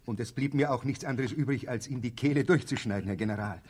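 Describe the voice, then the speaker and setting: An actor playing a subservient, brooding military barber and executioner in a radio play, with deferential, clipped replies and a dry undertone. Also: male voice, medium pitch, fast talker, darkish tone, breathy edge, close to an everyday voice. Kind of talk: theatrical radio drama acting.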